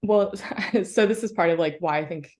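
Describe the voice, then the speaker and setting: A woman with a notably low voice speaks as an interview guest and historian. She talks in a reflective, halting way, pausing often to search for words. She is from the United States. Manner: thoughtful, halting, many fillers and soft laughs.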